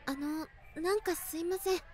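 An actress playing a shy, polite high school girl in an audio drama, speaking hesitantly. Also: moderate pace, darkish tone. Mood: polite, apologetic.

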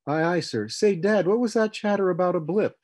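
Young spacefaring son, performed by a male reader: raised pitch, eager, inquisitive, audiobook sci-fi. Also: slow pace, dark tone, no room sound, studio quality. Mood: eager, curious.

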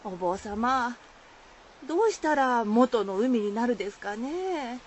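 A plain-spoken, troubled diving woman from a rural folktale for radio. Her highish voice moves expressively through earnest, humble Izu dialect. Faint noise sits in the background. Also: expressive, slow pace. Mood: distressed, pleading. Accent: rural Shizuoka, Izu dialect. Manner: humble, earnest rustic speech. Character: troubled, plain-spoken village woman who dives for shellfish. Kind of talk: radio drama acting of a folktale.

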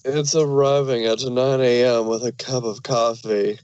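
A man plays a fussy, fashion-minded lady pony in a medium-pitched male register. The delivery is prim, haughty and indignant, as in a cartoon fan drama. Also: fairly even intonation, unhurried, a little mellow, smooth, dull audio, close to an everyday voice. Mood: irritated. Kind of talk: fan fiction audio drama.

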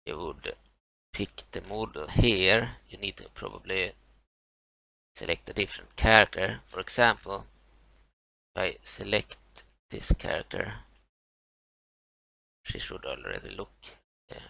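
Low, gravelly Swedish man. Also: sing-song, pushed and bright, no echo, low-quality recording. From Sweden. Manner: halting, many fillers and restarts. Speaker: tutorial maker. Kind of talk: screen-recorded software walkthrough.

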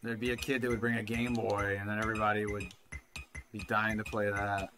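A man's voice: lowish voice, level tone, fairly quick, background noise. A man in his thirties casually talking through a retro handheld game he is playing, rambling and swearing now and then, on a desk mic at home. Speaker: retro gaming channel host. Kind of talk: casual gameplay commentary.

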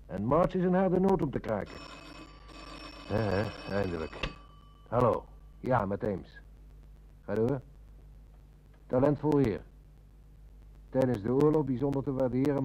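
A man playing a shrewd, dry, measured police inspector in a Dutch radio play. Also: medium pitch, mellow, poor audio.

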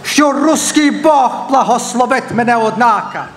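Guy doing a melodramatic dictator in a comic stage monologue, slow n swooping all over. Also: extremely high pitch, lively intonation, slight background noise. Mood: self-pitying lament. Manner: pompous, melodramatic declamation. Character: pompous, menacing, whining Russian dictator in satirical monologue. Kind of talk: theatrical satirical stage monologue in verse.

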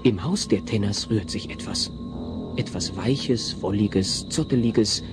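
A man's voice: lowish voice, unhurried, music in the background, lightly acted. A man playing the narrator of a comedy radio play, telling the story with wry suspense.

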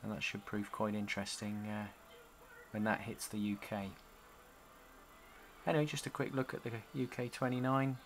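A man giving a casual, halting walkthrough as a hobbyist showing off his gear. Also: fairly low pitch, fairly even intonation. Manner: halting, pausing between short phrases. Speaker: CB radio hobbyist presenting a product demo. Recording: home camcorder with noticeable background noise.

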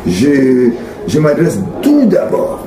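A middle-aged man from Congo, answering interview questions in a halting, earnest way with many fillers and repeats. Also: fairly high pitch, huge pitch swings. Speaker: singer guest. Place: small indoor studio room. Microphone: handheld mic on a stand. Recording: roomy sound with ambient noise.

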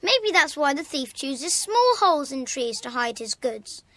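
Casting: earnest British schoolboy in children's audio play, high, lively voice, quick to indignation. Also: female voice, no echo, exaggerated voice. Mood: firm, defiant. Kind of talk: children's audio dramatisation acting.

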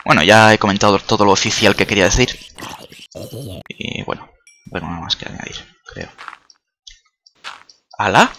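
A man's voice: on the low side, normal speed, long pauses, bright, forceful tone, slight background noise. A man who is not a native Spanish speaker, chatting casually while playing a video game, joking and swearing. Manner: chatty, swears a lot, jokey, startled outbursts. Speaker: gaming video creator. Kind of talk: casual let's-play commentary.

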